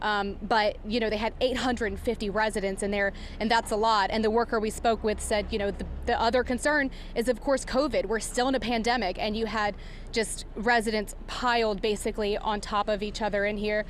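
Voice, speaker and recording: A woman working as a field news reporter, giving a live breaking report in a halting, improvised, explanatory way from outdoors. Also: mid-range voice, steady tone. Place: outdoors outside a warehouse. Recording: clear background noise outdoors.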